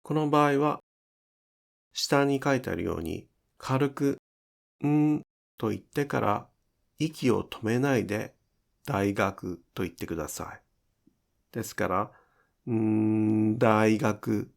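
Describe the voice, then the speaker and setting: A man teaching pronunciation in an instructional style, enunciating deliberately and carefully for language learners. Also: fairly low pitch, gravelly. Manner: deliberate, careful enunciation with demonstrated example words.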